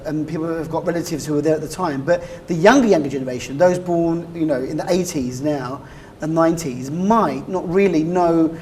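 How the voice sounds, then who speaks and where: A woman's voice: on the low side, a little mellow. British-raised lady hosting a tv chat, asks long leading questions, bit of background hiss. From United Kingdom. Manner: chatty, leading questions with "I mean". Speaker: TV talk show host. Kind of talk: broadcast interview.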